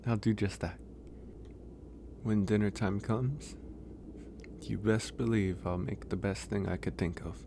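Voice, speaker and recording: A man playing a gentle, caring werewolf in an intimate ASMR roleplay, speaking softly and hesitantly with pauses. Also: on the low side, steady tone, noisy background. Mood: casual, friendly. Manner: soft, hesitant, pausing. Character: gentle, caring young male werewolf, shy and teasing. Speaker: audio roleplay voice actor. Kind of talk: ASMR roleplay, intimate one-sided dialogue.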